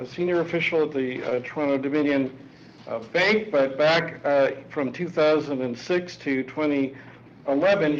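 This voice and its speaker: Halting panel moderator, muffled stream. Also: male voice, fairly high pitch. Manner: halting, many fillers and restarts. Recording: dull muffled live-stream audio with faint noise.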